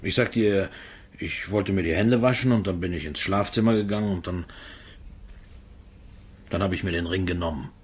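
A man's voice: sits low, normal speed, pauses often, slightly rough. A man playing an uneasy, shifty murder suspect in a radio crime play, hesitant and evasive. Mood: cornered, confessing. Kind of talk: German radio drama acting.

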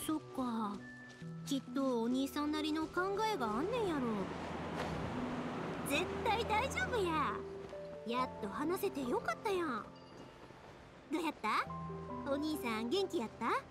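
Cheerful energetic anime game girl, kansai accent, high bouncy voice, music behind. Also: measured pace, long pauses. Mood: caring. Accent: Kansai Japanese. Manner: bubbly, friendly, expressive. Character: cheerful, energetic, warm-hearted young woman.

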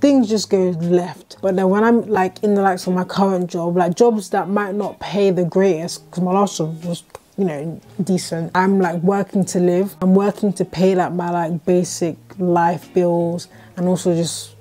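A woman's voice: on the low side, fairly even intonation, a little slow, warm tone. A woman in her twenties chatting casually at home, thinking aloud with lots of "like" fillers and restarts. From Britain. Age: 20s. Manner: reflective, talks in long runs with many "like" fillers and restarts. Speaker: YouTuber host. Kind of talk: casual chat. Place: bedroom, indoors.

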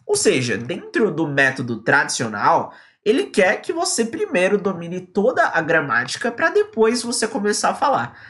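A young man from Brazil teaches English into a close webcam, his pitch leaping up and down as he talks. He sounds energetic and eager, explaining each point to a viewer from a small indoor room. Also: moderate pace, hardly any pauses. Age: young adult. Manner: lively and energetic, quoting a student in acted lines. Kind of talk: online English lesson in Portuguese. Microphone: webcam at close range.

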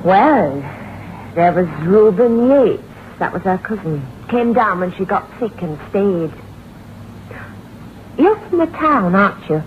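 A nosy, folksy country shopkeeper in an old-time radio play. She draws out her morbid gossip with teasing pauses at a medium pitch. The recording is narrow and muffled, with faint hiss. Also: very animated. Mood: morbid relish.

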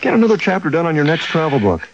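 An actor playing an easygoing, reassuring husband in a conversational radio drama style. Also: male voice, a little high, sing-song, rapid-fire. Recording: dull lo-fi radio recording.

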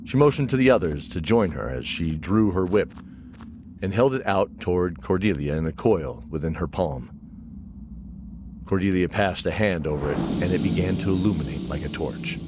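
A man's voice: low voice, animated, normal speed, background noise. A man narrating a scripted fantasy audio drama as its storyteller. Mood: measured storytelling.

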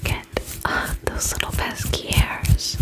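Slow, whispering woman in ASMR roleplay. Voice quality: soft breathy whisper. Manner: gentle, soothing, affectionate. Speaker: ASMR performer playing a vampire spa attendant. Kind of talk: ASMR personal-attention roleplay. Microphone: close ASMR microphone.

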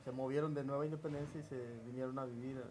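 A man in his forties from Chiapas with a southern Mexican accent, reminiscing in an interview in a halting, unhurried way. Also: mid-range voice, fairly even intonation, a little mellow, rough recording. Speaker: interview guest. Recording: noticeable background ambient noise.

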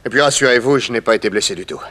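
An actor playing a shrewd, self-assured detective in a radio serial, with formal, precise diction. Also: male voice, mid-range voice, rapid-fire, bright, forceful tone, close to an everyday voice. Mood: triumphant, methodical. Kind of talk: old French radio serial acting.

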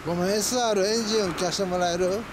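A talkative Kansai man with a fairly high voice, chatting easily with visitors. He is recorded outdoors on a camera mic, with clear street noise and cars around him. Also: steady tone, racing. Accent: Kansai Japanese. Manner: friendly, talkative, chuckling. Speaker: cafe owner chatting with riders. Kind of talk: casual chat. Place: outdoors by a cafe parking area.

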